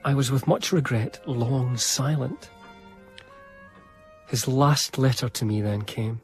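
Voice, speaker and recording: Radio drama narrator: devoted, melancholy period gentleman male actor, earnest and literary, mid-pitched, over faint music. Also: slow pace, lightly acted. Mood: sombre. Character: devoted, melancholy eighteenth-century gentleman biographer, earnest and sentimental. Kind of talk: dramatised first-person narration.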